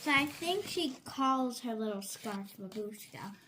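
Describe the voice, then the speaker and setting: A woman's voice: fairly high pitch, lively intonation, a little slow, a bit bright. A female co-reader casually chiming in and commenting during a storybook reading, recorded with some noise behind her.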